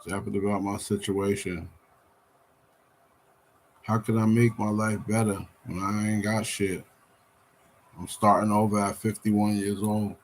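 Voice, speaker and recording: A man's voice: fairly low pitch, level tone, unhurried, pauses often, a little hiss or hum. A man about fifty, rambling on a live stream, weary and venting with plenty of swearing. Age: 50s. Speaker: livestreamer.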